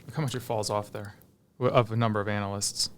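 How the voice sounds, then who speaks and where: A man's voice: on the low side, flat delivery, quick pace. A man co-hosting a finance show, chatting casually as he reacts to earnings figures.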